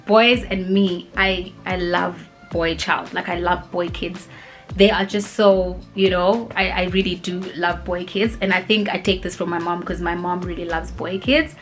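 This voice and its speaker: A woman's voice: lowish voice, unhurried, soft background music. A woman, chatting casually and rambling as she answers viewer questions, with lots of fillers. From grew up in Cape Town, South Africa. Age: 20s. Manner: rambling and animated, many "like" and "you know" fillers.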